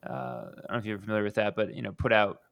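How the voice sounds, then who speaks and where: A man's voice: fairly low pitch, fairly even intonation, fast talker, gravelly, a bit bright, no room sound. A man hosting a podcast, upbeat and asking long questions. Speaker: podcast host. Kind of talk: podcast interview.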